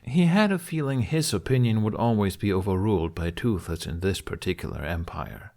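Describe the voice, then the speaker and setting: A man's voice: fairly low pitch, lively intonation, normal speed, darkish tone. A man narrating scripted fiction as an audiobook reader.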